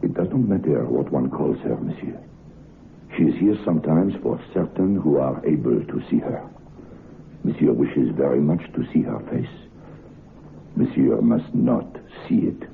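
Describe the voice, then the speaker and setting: An actor playing an earnest, nervous French head waiter who speaks broken English with a French accent in an old-time radio drama. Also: male voice, extremely low pitch, animated, moderate pace, creaky, a little hiss or hum, full character voice. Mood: confiding. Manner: broken English, urgent pleading. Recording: tinny phone-like old radio recording.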